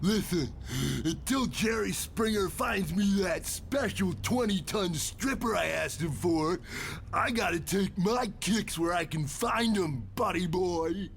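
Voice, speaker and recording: A man's voice: high-pitched, slow, gravelly, slight background noise, exaggerated voice. A man voicing a crude mutant villain who also poses as a gushing woman in a motion comic. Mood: gloating, crude. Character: crude huge male villain catfishing as a gushing lovelorn woman.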